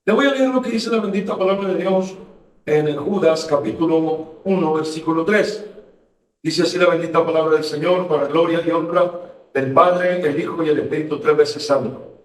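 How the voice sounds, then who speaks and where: Man, pastor hosting a radio show, fervent emphatic preaching, higher voice, roomy echoing sound, off-mic. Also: moderate pace. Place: radio studio. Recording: roomy echo, voice distant from mic.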